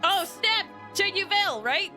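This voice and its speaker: A woman's voice: extremely high pitch, lively intonation, measured pace. A woman livestreamer giving casual, chatty, rambling reactions to a video game. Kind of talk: casual game stream commentary. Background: game music.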